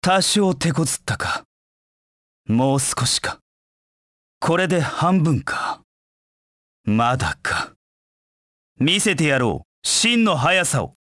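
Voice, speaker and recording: An actor voicing a proud, cold dark knight in a video game, with curt, haughty, disdainful delivery. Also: male voice, a little high, very animated, slow pace, pauses often, no room sound, clean studio sound, exaggerated voice. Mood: confident, aloof, then forceful, challenging. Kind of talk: video game voice acting in short battle lines.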